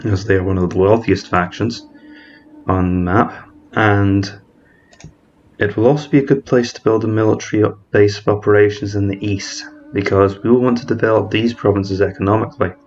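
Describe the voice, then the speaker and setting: A man's voice: on the low side, fairly even intonation, moderate pace, muffled recording, faint music behind. A man giving methodical commentary over a strategy game, thinking aloud and correcting himself mid-word. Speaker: strategy game let's-player. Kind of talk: explanatory gameplay commentary.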